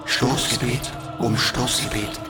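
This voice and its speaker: An actor in a radio play as a dramatic storyteller, urgently declaiming a tale of battle. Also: male voice, medium pitch, flat delivery, slow pace, gravelly. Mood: urgent, awed. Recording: loud music and effects behind.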